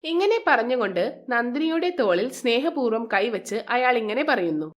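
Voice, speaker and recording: Higher-voiced woman storyteller. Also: sing-song, moderate pace. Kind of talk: scripted moral-story narration.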